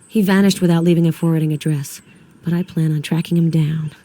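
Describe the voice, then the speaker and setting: Game casting: composed female investigator, low-pitched mellow voice, measured and resolute. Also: fairly even intonation. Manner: measured, matter-of-fact. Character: determined, composed female federal investigator in the field. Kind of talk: video game voice acting.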